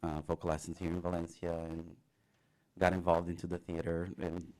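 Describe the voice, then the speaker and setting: A young man from Brazil with a Brazilian Portuguese accent, a student guest chatting casually in an interview, halting often with fillers and small laughs. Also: low-pitched, completely flat delivery, a little mellow.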